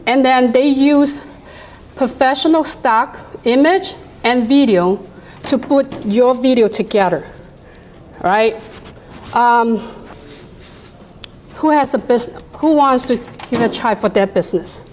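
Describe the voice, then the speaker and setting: A woman with a high voice and a non-native English accent leads a workshop, chatting and animated as she demos software for the room. A faint hum sits behind her in a conference room. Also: slow pace, long pauses. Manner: chatty, animated, laughs often, talks to the audience directly. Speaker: workshop presenter. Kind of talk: live software demo to a room.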